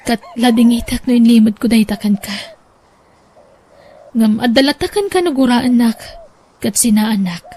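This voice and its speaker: Actress for sorrowful, pleading mother, radio drama, fairly high voice, Ilocano accent, emotional delivery. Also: moderate pace, pauses often, warm tone, close to an everyday voice. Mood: pleading, remorseful. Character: sorrowful, apologetic mother confessing about her child.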